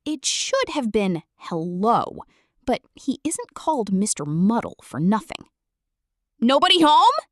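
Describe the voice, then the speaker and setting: A woman's voice: mid-range voice, sing-song, measured pace, darkish tone, no room sound, studio quality. A woman storyteller reading a children's book aloud theatrically, addressing the listener directly.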